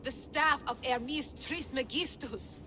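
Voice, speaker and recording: High-voiced, driven explorer. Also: female voice, slow pace, background noise. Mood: brisk. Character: driven, adventurous woman explorer. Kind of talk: video game cutscene acting.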